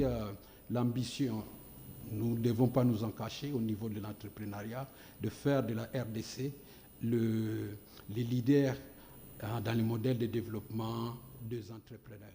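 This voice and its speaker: A man's voice: mid-range voice, dark tone, in a large hall, a little hiss or hum. A man from the Congo, a guest entrepreneur on a TV studio set, speaks hesitantly with many fillers and repeated words, growing emphatic. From Democratic Republic of the Congo. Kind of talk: TV studio interview.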